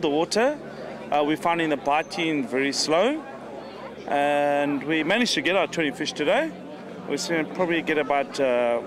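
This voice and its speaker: A man with a South African accent giving a casual, hesitant interview as a competitor. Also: fairly high pitch. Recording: clear crowd chatter behind outdoors.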